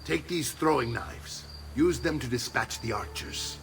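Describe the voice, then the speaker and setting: An actor playing a commanding middle-aged Italian uncle and assassin mentor in a video game, authoritative, theatrical and Italian-accented. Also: male voice, medium pitch, measured pace, recorded from a distance, music in the background, close to an everyday voice. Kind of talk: dramatic video game acting.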